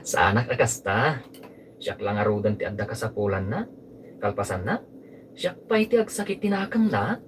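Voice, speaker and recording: A man's voice: fairly low pitch, lively intonation, normal speed, pauses often, far from the mic, lightly acted. A man with a Filipino accent playing a polite, earnest character in a family radio drama. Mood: sympathetic. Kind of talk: Ilocano radio drama acting. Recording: off-mic live recording, faint noise.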